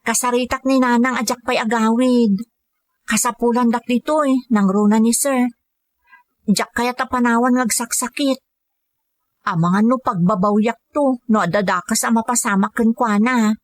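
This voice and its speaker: Babai nga agak-akto a naimbag a balasang nga Ilokana, nangato ti timekna. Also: female voice, fairly high pitch, steady tone, normal speed, no room sound, natural voice. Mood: earnest, weary. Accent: Ilocano. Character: devoted, grateful adult daughter working abroad as caregiver. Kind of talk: Ilocano radio drama acting.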